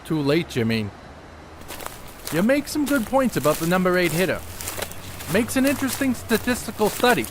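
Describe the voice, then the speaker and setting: A man on stage playing a thoughtful, college-educated 1930s ballplayer who speaks in precise, poetic phrases with quiet self-assurance. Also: sits high, sing-song, normal speed, pauses often, noisy background. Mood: measured. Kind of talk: amateur stage play acting.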